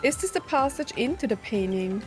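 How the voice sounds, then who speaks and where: A woman's voice: a little high, very animated, fairly quick. A woman doing casual gameplay commentary, reacting aloud in a giggly, self-deprecating way with exclamations. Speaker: game streamer. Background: game music.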